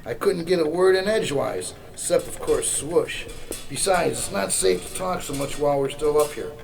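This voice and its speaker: An actor playing a gruff, sardonic rebel mentor with folksy, brusque speech in a radio drama. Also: male voice, fairly high pitch, normal speed. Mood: wry warning. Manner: folksy slangy, wry, brusque.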